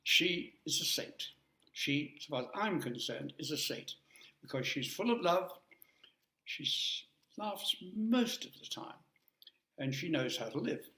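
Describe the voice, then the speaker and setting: Older male speaker, talkative and jokey, slightly high voice, roomy echo. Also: animated, unhurried, pushed and bright. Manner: chatty, jokey, rambling with asides. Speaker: guest speaker. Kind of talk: informal talk to an audience.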